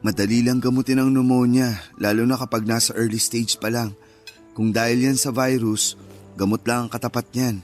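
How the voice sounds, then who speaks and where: A man playing a calm, reassuring young husband and new father, explaining things patiently in a radio drama. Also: medium pitch, moderate pace, faint music behind, close to an everyday voice.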